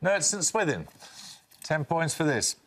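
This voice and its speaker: An older man hosting a television quiz, reading questions in a crisp, brisk manner. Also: a little high, very animated, fairly bright tone. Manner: crisp, brisk quizmaster delivery. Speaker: quiz show host.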